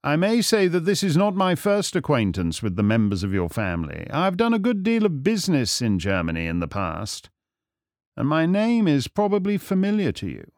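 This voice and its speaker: A man voicing a suave, self-assured gentleman detective in an audiobook, urbane, measured, wry and formal. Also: fairly high pitch, huge pitch swings, no room sound, clean studio sound, voice pushed up. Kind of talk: audiobook character acting.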